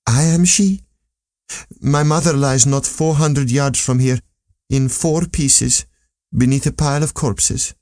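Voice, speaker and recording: A world-weary old woman, as voiced by a male narrator, tells of her misfortunes with theatrical emphasis. The tone is mellow and the sound is dry and close, like a literary audiobook. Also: medium pitch, steady tone, slow pace, no echo, voice pushed up, lightly acted. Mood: anguished.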